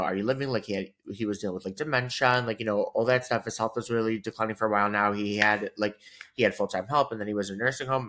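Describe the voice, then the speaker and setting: A man's voice: lowish voice, pushed and bright. A man in his thirties co-hosting a podcast, rambling casually with lots of filler words. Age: 30s. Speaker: podcast co-host. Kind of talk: casual chat.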